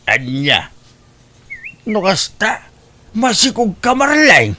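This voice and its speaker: A man's voice: high voice, wildly swooping pitch, slow, pushed and bright, exaggerated voice. Man acting stern, worried older father. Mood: stern, alarmed. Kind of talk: audio drama acting.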